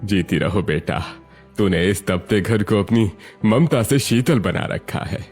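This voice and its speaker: A man's voice: on the low side, lively intonation, a little slow, a little mellow, faint music behind, pitched below the performer's own voice. A man playing an elderly, ailing father-in-law in a cartoon family story, tender and grateful. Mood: grateful tenderness. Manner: tender, blessing.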